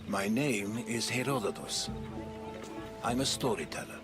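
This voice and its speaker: An actor playing a worldly ancient Greek storyteller in a video game drama, speaking in a grave, deliberate manner. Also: male voice, on the low side, animated, over music. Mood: grave, guarded.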